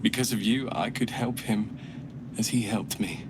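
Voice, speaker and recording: A man's voice: on the low side, steady tone, a little slow, noisy background, natural voice. A man playing a weary, solemn old soldier in a video game, speaking earnestly and gratefully. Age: older. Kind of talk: video game dialogue acting. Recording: music behind the voice.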